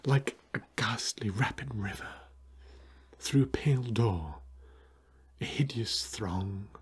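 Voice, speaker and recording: A man playing a fussy, old-fashioned, melancholy gentleman vampire in a comic ASMR roleplay, speaking softly, courtly and hesitantly. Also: lowish voice, slow, exaggerated voice. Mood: weary, disdainful. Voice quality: breathy, husky soft voice.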